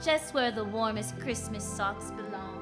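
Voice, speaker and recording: A woman's voice: mid-range voice, unhurried, natural voice. A woman playing a wry, flirtatious private detective who narrates her case in a vintage radio drama. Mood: fond, playful. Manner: wry, hard-boiled storytelling. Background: music.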